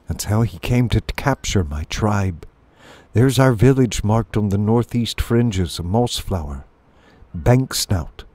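A man voicing a boastful, bossy shrew chief in a storybook, brisk and commanding like a self-assured sea captain. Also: on the low side, a little mellow, dry recording. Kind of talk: storybook character voice.

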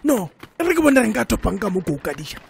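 A man voicing a brash, scheming, hot-tempered South African cartoon character, loud and excitable. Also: sits high, racing, gravelly, slight background noise, heavily acted. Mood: irritated, scheming. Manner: loud, excitable, mixes English into vernacular. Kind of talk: comedic cartoon dialogue.

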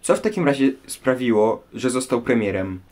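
Male host, normal pitch, reading out scripted questions about history. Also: expressive, moderate pace, a little mellow. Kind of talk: scripted presenting.

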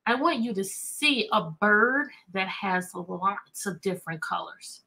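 A middle-aged American woman hosting a chatty, interactive livestream for children, animated and repeating phrases and questions to draw listeners in. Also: mid-range voice, slow pace, gravelly. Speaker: teacher, children's read-aloud host. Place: indoor room with bookshelves.

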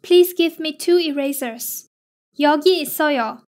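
A woman slowly reading lesson phrases and their translations with an even, spaced delivery. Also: high-pitched. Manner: slow, evenly spaced delivery. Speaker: lesson reader.

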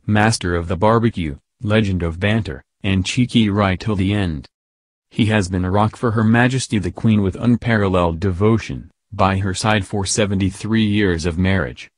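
A man narrating a scripted news piece in an even, uninflected reading style. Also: lowish voice, no echo.

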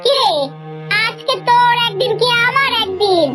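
Woman voicing a shrill, cruel scolding mother. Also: a little slow, fairly bright tone, faint music behind. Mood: angry scolding. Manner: harsh, berating, taunting. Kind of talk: cartoon fairy-tale acting.

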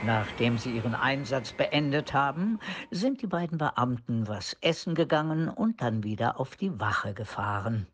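A male storyteller reading scripted radio play narration. His mid-range voice moves up and down in a lively way. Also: mid-range voice, moderate pace, breathy edge. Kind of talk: scripted audio drama narration.

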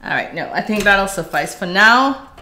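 A woman's voice: medium pitch, expressive, moderate pace, bright, forceful tone, a little hiss or hum. A middle-aged woman chatting casually with viewers as she hosts a live craft tutorial from a room at home. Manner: chatty, addressing viewers as guys while working. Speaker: craft livestream host.

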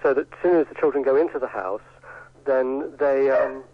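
A man's voice: medium pitch, normal speed, phone-quality sound, noisy background. A man giving an informal, hesitant radio interview from home.

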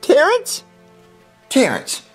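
A man voicing a whiny, excitable young boy puppet who stammers and repeats himself, in a comedy skit. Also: very high-pitched, very slow, faint music behind, full character voice. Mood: anxious, stammering.

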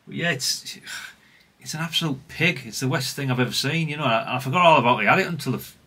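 A man's voice: medium pitch, fast, pushed and bright, slightly rough. A middle-aged British man giving casual, unscripted workbench commentary in a rambling, chatty, plain-spoken way. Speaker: hobbyist radio repair vlogger.

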